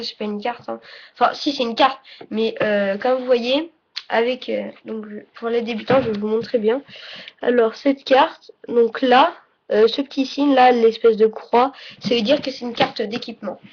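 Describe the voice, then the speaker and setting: A woman giving a casual, unscripted tutorial as presenter, halting and often correcting herself or trailing off mid-sentence. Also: a little high. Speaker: presenter of a card game tutorial. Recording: dull lo-fi audio, faint noise.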